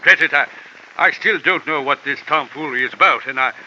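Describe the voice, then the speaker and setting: An actor playing a blustering older colonel and horse owner, pompous and old-fashioned, in a vintage radio drama on a dull old recording. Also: male voice, on the high side, a little fast, bright, forceful tone, slight background noise. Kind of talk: 1950s radio drama acting.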